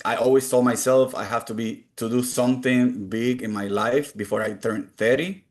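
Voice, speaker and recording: A man's voice: medium pitch, steady tone, moderate pace. Earnest Cuban-born man. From Cuba. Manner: earnest, open and reflective. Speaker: guest. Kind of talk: conversational interview answers.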